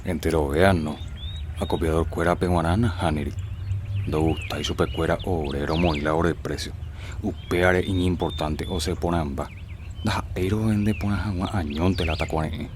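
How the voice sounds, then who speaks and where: Man acting a very deep-voiced Paraguayan farmer father. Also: steady tone, normal speed, background noise, lightly acted. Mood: insistent, persuading. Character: earnest father, farmer organizing fellow cane growers. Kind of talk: radio soap opera acting in Jopara.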